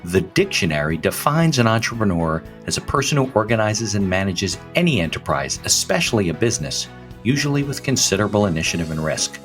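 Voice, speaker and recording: A man hosting a business podcast, reading a scripted opening and then chatting with a guest in a relaxed, conversational way. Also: lowish voice, brisk, faint music behind. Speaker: podcast host, attorney. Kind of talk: scripted intro, then casual interview chat.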